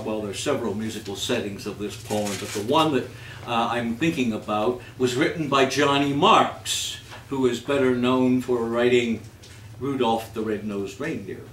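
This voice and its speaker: A man presenting informally and jokily to a live audience, halting and often repeating his words. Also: mid-range voice, far from the mic. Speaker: host of a live holiday readers theater. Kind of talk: informal live stage presentation with comic readings. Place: live before an audience in an echoey room. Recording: echoey, noisy hall recorded from afar.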